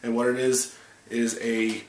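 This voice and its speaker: Stuffy low-voiced guy in his 30s doing a tech recap on webcam, roomy echo, bit of hum. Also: flat delivery, unhurried. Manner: chatty, upbeat, reads from notes with restarts. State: stuffy from a cold. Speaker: tech video host. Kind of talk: casual tech news recap to camera. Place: small home office room. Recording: echoey room, faint room hum.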